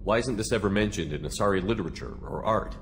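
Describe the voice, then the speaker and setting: Low, brisk military commander. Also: male voice, lively intonation, a little hiss or hum. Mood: probing, concerned. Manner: direct, plain, questioning. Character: direct, businesslike male military commander. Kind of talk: video game dialogue acting.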